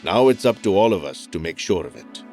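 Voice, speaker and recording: An actor playing a thoughtful, reassuring doctor who explains things calmly in an audio drama. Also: male voice, low-pitched, loud music behind the voice. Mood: reflective, explaining. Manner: measured, explanatory, calm. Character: thoughtful, reassuring male doctor and family mentor.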